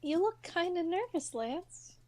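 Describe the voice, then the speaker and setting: Sly young genius played by a woman, high voice, casual needling delivery for an audio story. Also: expressive, unhurried, mellow, a little hiss or hum. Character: sly, teasing young tech whiz kid.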